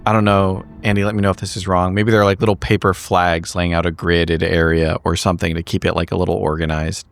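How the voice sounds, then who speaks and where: A man improvises narration as a player in a tabletop game. His deep voice is recorded dry, and he goes haltingly, thinking aloud as he builds each sentence. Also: little pitch movement, gravelly, no echo.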